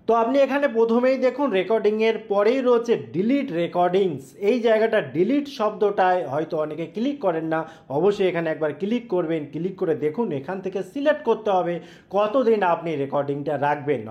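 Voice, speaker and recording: A man's voice: high-pitched, a little mellow. A man giving an emphatic, explanatory step-by-step phone tutorial, speaking directly to the viewer. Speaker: tech tutorial YouTube host. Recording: dull, lo-fi voice recording.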